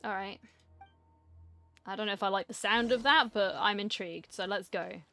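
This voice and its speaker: A woman's voice: medium pitch, normal speed, pushed and bright, soft background music. A woman gaming streamer giving casual, chatty commentary and reacting aloud as she plays.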